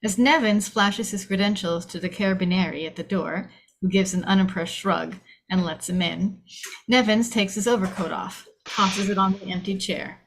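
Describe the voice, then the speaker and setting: A woman reads the action and scene headings of a film script aloud. Her voice sits at a medium pitch, and she delivers the narration dramatically, as at a table read. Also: fairly even intonation, moderate pace, poor audio. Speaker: narrator reading stage directions.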